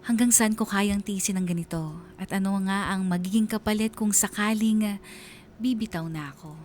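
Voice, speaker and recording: A woman's voice: mid-range voice, normal speed, a little mellow, a little hiss or hum, natural voice. A woman narrating as a troubled letter writer on a radio drama, heartfelt and confiding, with a Filipino accent. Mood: despairing. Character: troubled, disheartened female letter writer telling her story.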